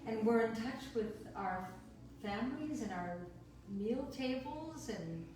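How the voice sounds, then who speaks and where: An agreeable woman with a voice a little above average pitch, chatting casually and echoing her friend's points. She sits well back from the mic in a very echoey room. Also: lively intonation, slow, distant mic. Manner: warm, agreeable, repeats herself. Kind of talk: casual chat. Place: home, recorded remotely.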